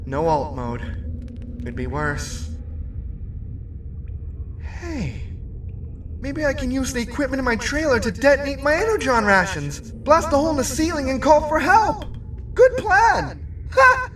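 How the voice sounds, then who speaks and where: A man playing a neurotic, self-deprecating robot who narrates his own troubles in an animated comic. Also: very high voice, unhurried, pauses often, recorded from a distance, noisy background, full character voice. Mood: resigned, then excited. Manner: self-mocking, dramatic.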